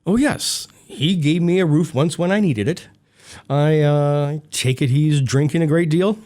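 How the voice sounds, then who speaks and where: An actor playing a bitter, mocking former stable boy turned wealthy gentleman in a period radio drama, speaking with cool formality. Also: on the high side, measured pace, natural voice. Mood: cold, commanding.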